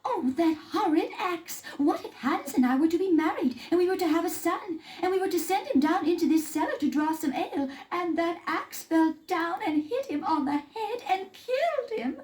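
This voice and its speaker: A woman's voice: extremely high pitch, animated, normal speed, hardly any pauses, darkish tone. A woman playing a silly, melodramatic young fairy-tale daughter who wails her lines on an old roomy story record. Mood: wailing despair. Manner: wailing, melodramatic.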